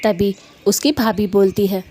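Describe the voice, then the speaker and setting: A woman's voice: medium pitch, steady tone, measured pace, dark tone, slight background noise. A woman telling a scripted cartoon story as its narrator.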